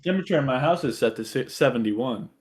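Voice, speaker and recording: Male co-host, medium pitch, slightly tired, informal conversational delivery. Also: lively intonation, fast talker, a little mellow. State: a little tired. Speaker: podcast co-host. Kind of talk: casual chat.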